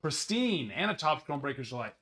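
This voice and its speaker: A man hosting a sports card break livestream, chatting casually with viewers in a slightly hoarse voice. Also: sing-song, a little fast, sharp tone. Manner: chatty, rambling, upbeat sales pitches.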